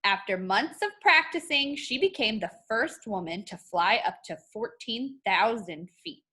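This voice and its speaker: A woman teacher reading a picture book aloud to children in an expressive, instructive way. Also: medium pitch, a little slow, husky. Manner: expressive, instructive, addressing young students. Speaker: art teacher.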